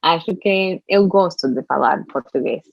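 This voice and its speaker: A young woman, a native Spanish speaker, talking in an interview about learning Portuguese, with careful, halting speech and restarts. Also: lowish voice, creaky, dry recording, lo-fi sound. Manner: slow, careful speech with frequent restarts and laughs. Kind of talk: casual conversational interview.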